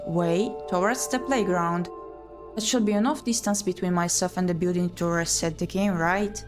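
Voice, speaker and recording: A woman reading a first-person story aloud in a dramatic narrating style for a game stream. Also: mid-range voice.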